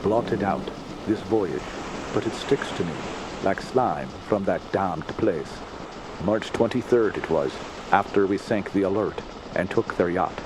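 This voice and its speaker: An actor playing a weary, haunted sea captain who tells his grim tale in halting, inverted phrases in a radio drama. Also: sits low, background noise. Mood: haunted, regretful. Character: haunted, weary Scandinavian sea captain recounting a dreadful voyage. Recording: loud sea and boat ambience behind.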